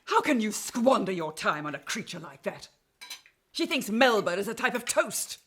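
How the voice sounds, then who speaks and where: An actress playing a haughty, sharp-tongued lady of a 19th-century house in a radio play, with pompous, precise diction. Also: mid-range voice, sing-song, moderate pace, a little hiss or hum. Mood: scornful. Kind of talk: period radio drama acting.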